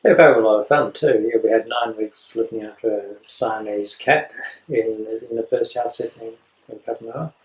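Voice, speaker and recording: An Australian man in his late fifties talking about house sitting, rambling with many pauses and dry jokes. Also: on the low side, roomy sound, poor audio. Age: 50s. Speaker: house sitter. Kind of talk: casual self-introduction video.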